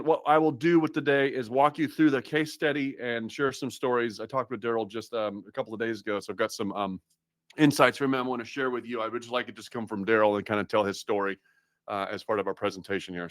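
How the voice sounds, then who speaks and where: A man's voice: mid-range voice, fairly bright tone, no room sound. A man from Massachusetts hosting a live webinar, chatty and upbeat, with lots of fillers and restarts. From Massachusetts, USA. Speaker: webinar host.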